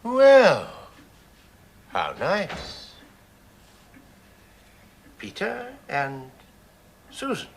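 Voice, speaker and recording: Old professor, wildly swooping voice. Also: male voice, high voice, wildly swooping pitch, a little hiss or hum, heavily acted. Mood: genial, delighted. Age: older. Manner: deliberate, formal, theatrical. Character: courtly, thoughtful old professor, kindly and eccentric. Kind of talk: radio drama acting.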